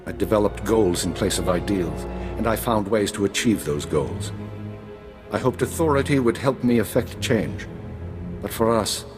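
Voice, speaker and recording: An actor playing a grave, authoritative older intelligence minister, speaking in a measured, formal way in a video game. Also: male voice, on the low side, animated, over music, close to an everyday voice. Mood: reflective, resolute. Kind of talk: video game drama acting.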